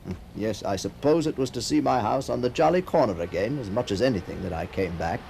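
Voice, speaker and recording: Wistful, gravelly middle-aged man. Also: fairly low pitch, animated, brisk, darkish tone. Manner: musing, hesitant, wry, with small chuckles. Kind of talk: radio drama acting. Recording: faint background noise.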